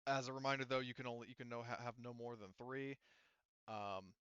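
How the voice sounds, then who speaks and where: A man's voice: mid-range voice, steady tone, fairly quick, a bit bright, clear, resonant tone, no echo, lo-fi sound. A man running a tabletop roleplaying game as game master, explaining things casually.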